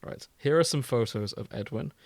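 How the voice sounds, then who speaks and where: A man's voice: mid-range voice, normal speed, gravelly, a little mellow, no room sound. A man co-hosting a casual chat show, talking in a teasing, conversational way. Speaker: podcast co-host.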